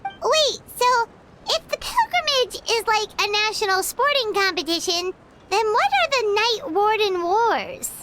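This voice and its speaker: A woman voicing a tiny, chatty, curious floating companion in an anime game, with a squeaky childlike voice. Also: expressive, a little slow, noisy background. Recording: music behind.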